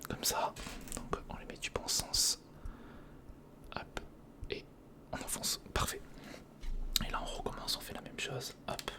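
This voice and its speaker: A man whispering in a breathy, crackly voice, casually murmuring a step-by-step ASMR commentary as he thinks aloud. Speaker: ASMR video creator.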